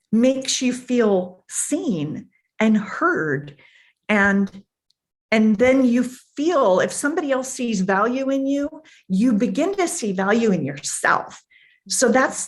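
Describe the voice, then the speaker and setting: Slow, emphatic older woman storyteller. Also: very animated. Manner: emphatic, booming voice, repeats phrases while thinking. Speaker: podcast guest, foundation founder. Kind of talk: conversational storytelling.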